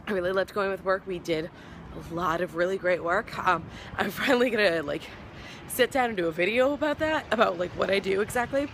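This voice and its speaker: A young woman vlogger talking casually to camera, hoarse from losing her voice and tired. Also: medium pitch, fairly bright tone, a little hiss or hum. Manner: chatty, tired. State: hoarse, lost voice.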